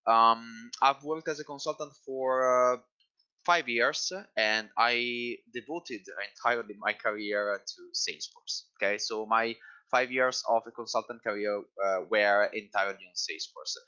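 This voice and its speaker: Italian man, halting webinar speaker. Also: medium pitch, fairly even intonation, bright, forceful tone, smooth. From Italy. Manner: halting, searching for words in a second language. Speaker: Salesforce instructor. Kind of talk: webinar presentation. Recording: muffled lo-fi webinar audio.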